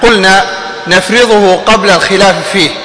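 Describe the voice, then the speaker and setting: A man reading short passages of a classical text aloud in measured recitation. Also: high-pitched, fairly even intonation. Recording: echoey hall.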